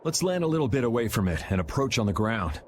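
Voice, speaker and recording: A man's voice: medium pitch, big pitch swings, quick pace, a little mellow, a little hiss or hum, close to an everyday voice. An actor playing a sardonic, laid-back young swordsman who talks in a dry way. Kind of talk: video game voice acting.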